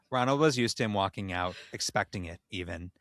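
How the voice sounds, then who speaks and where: Low-voiced man reading aloud, dry close sound. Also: fairly bright tone, dry recording. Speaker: podcast co-host. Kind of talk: comedic dramatic reading of erotic fan fiction.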